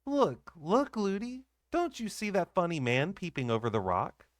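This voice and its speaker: A man voicing a chatty, curious little girl princess, high and eager. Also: wildly swooping pitch, normal speed, dry recording, studio quality.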